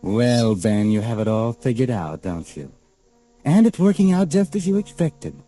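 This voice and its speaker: Man acting a sinister radio narrator, low mellow voice, animated intonation, insinuating, mocking, American accent, music behind. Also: moderate pace, lightly acted. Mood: taunting, ominous. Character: knowing, sinister, taunting storyteller addressing the characters. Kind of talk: 1940s radio drama narration.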